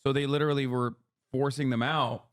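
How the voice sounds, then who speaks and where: A man in his thirties explains politics on a casual livestream. He talks in quick, lecturing bursts full of fillers and restarts. His voice sits at an ordinary middle pitch. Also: steady tone.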